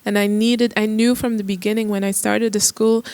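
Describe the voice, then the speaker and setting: A woman's voice: mid-range voice, little pitch movement, moderate pace, darkish tone, no room sound. A woman musician on a discussion panel, speaking earnestly and restarting her phrases as she thinks. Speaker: musician guest.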